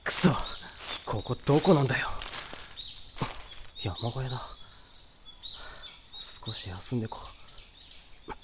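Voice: mid-range voice, lively intonation, noisy background. Actor voicing a brash, frightened young fugitive. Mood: anxious. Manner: coarse casual speech, quick to panic and shout. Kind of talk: fan voice drama acting.

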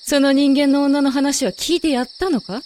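A woman playing a rough-mouthed, short-tempered young werewolf woman in an audio drama, taunting in coarse, masculine speech. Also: high-pitched, fairly even intonation, normal speed, a little mellow, a little hiss or hum, lightly acted. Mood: scornful. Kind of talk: Japanese audio drama acting.

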